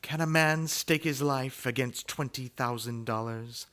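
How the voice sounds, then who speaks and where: A man's voice: mid-range voice, unhurried. A man narrating as a dramatic announcer in the style of old-time radio suspense. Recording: loud music under the voice.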